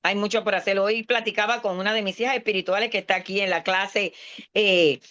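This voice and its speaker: A woman's voice: medium pitch, fairly even intonation, brisk, fairly bright tone. Earnest woman pastor preaching, lo-fi sound. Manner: earnest, mixes English words into Spanish. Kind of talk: sermon in a class. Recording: dull lo-fi sound.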